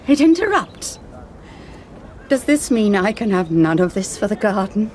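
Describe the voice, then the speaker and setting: A woman's voice: mid-range voice, very animated, unhurried, darkish tone, slight background noise. An actress playing a stubborn nun who insists on her garden schemes. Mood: insistent. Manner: insistent, pleading.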